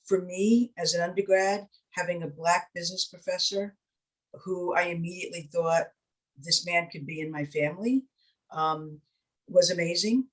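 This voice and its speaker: A woman's voice: lowish voice, steady tone, normal speed, rough recording. New woman in her fifties, panel intro. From New York City, Puerto Rican heritage. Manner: warm, personal, with frequent "um". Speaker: university provost, panelist.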